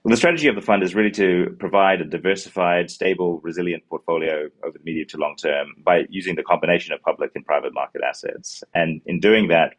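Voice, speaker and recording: Man, very low voice, quick talker, New Zealand accent, earbud mic on a video call, hesitant ums. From born in Sri Lanka, raised in New Zealand. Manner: many ums and uhs, restarts words while explaining. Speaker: fund co-manager. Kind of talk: investment pitch answering questions. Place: office with glass walls, indoors.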